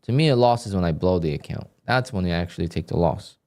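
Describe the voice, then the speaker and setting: Low-voiced man streaming his trading. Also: fast talker. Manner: flat, dry, sounds annoyed even when content. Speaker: day trader livestreamer. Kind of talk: live stream commentary, reading donations.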